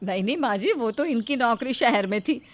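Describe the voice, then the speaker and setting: Loud, scornful mother-in-law played by a woman. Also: a little high, lively intonation, fairly quick, natural voice. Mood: scheming. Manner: loud, sharp-tongued, dismissive. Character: greedy, scornful middle-aged mother-in-law.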